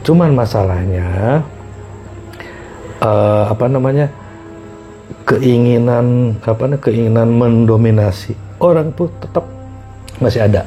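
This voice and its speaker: A middle-aged man telling personal stories casually as a podcast guest, often pausing and repeating himself to find words. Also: medium pitch, dark tone, soft background music. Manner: halting, repeats phrases as he searches for words. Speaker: podcast guest recounting past experiences.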